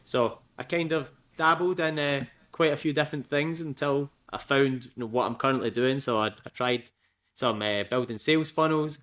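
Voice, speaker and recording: A man's voice: medium pitch, normal speed, a bit bright. Chatty young Scottish man. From Scotland. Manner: chatty, laughs often, many fillers. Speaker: guest. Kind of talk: casual interview.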